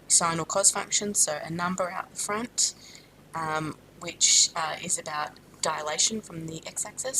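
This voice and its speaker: A woman's voice: low voice, vocal fry, noisy background. A woman from Australia teaching a maths lesson with a blocked-up nose from a sinus infection, explaining steadily with frequent ums and self-corrections. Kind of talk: recorded classroom lesson.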